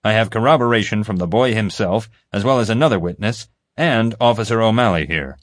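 A man's voice: on the low side, dry recording, clean studio sound, close to an everyday voice. A man voicing a stern, controlled investigator who questions firmly and with authority in an audiobook. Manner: measured, firm questioning.

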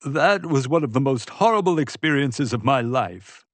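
Man acting stuffy, exasperated fellow with allergies, raised pitch. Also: expressive, moderate pace, no echo, lightly acted. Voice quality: congested, nasal. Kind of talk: audiobook character voice.

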